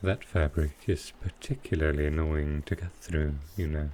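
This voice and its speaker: A man playing a sly, dryly amused male supervillain in a soft roleplay ASMR style, with halting, understated delivery. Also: extremely low pitch, fairly even intonation, a little hiss or hum. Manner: unhurried, halting delivery with pauses, dry understated humour.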